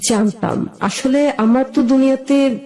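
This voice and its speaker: A woman's voice: sits high, a little slow, warm tone. A woman with a Bangladeshi accent telling her own life story as an interview guest, conversational, wistful and admiring.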